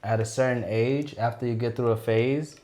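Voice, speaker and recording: A man's voice: mid-range voice, steady tone, normal speed, darkish tone, slight background noise. A man in a casual podcast conversation who cuts in with questions. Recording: echoey room.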